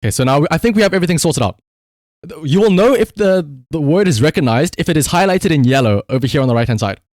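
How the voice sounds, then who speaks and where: Animated higher-voiced man streaming a game. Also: fast, no room sound, studio quality. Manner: swearing, repeating command words for voice recognition. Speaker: live streamer. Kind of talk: casual live gaming stream commentary.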